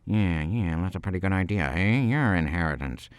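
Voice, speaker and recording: A man's voice: sits low, expressive, normal speed, clean tone, no echo. A man playing a twitchy, boastful, menacing killer in a dramatic monologue, stammering and slangy as he justifies himself.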